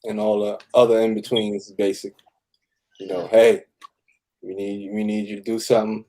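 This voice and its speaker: A man's voice: lowish voice, level tone, moderate pace, dark tone, rough recording. A man from New York making casual, slangy, playful interjections like a hype man.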